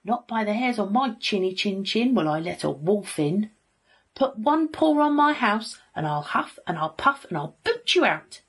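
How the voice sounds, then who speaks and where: A woman voicing a rude, lazy young male pig in a children's story, cheeky and defiant, shouting. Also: low-pitched, huge pitch swings, moderate pace, few pauses, husky, heavily acted.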